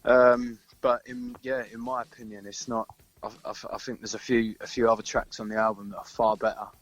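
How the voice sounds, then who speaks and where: Give the voice of a British man, a musician being interviewed on the radio. He talks informally at a middling pitch, rambling a little and feeling his way through his answers. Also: little pitch movement, a little fast, low-quality recording. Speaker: band singer-songwriter being interviewed. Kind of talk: casual chat on a radio interview.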